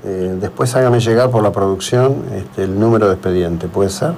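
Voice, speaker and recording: A male Argentine radio host with a fairly low voice. He talks in halting phrases and repeats words for emphasis. There is some faint noise behind him. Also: a little mellow. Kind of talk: live radio interview and commentary. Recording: noticeable background noise.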